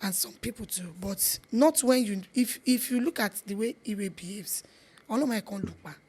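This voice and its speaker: A woman's voice: mid-range voice, normal speed, a little mellow, a little hiss or hum. A middle-aged Nigerian woman with a Yoruba accent, chatting earnestly as an interview guest, repeating her points for emphasis. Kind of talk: casual interview chat.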